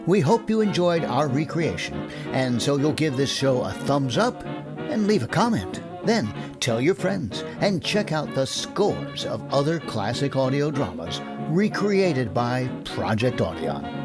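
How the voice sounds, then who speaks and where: A man's voice: mid-range voice, sing-song, a little slow. A man delivering a scripted, radio-style host's sign-off. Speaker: host and announcer. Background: loud music.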